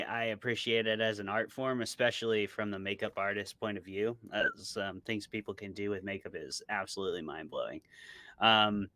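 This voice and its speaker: Male interview guest, low-pitched and crisp-edged, dry sound, speaking candidly and with firm opinions in relaxed conversation. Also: dry recording. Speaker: interview guest, horror author. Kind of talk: casual chat.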